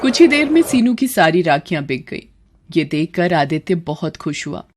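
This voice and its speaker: A woman's voice: fairly low pitch, big pitch swings, moderate pace, a little mellow. A woman reading scripted narration for a cartoon story as the storyteller.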